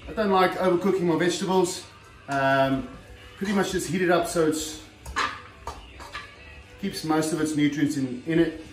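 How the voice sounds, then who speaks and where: A man's voice: fairly high pitch, moderate pace, pauses often. A middle-aged man, a former heavyweight fighter, chatting casually while he demonstrates cooking in his home kitchen, recorded by a camera set across the counter. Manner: chatty, plain-spoken, lots of fillers and "okay". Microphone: far camera on the counter. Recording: roomy kitchen sound from a distance, faint music.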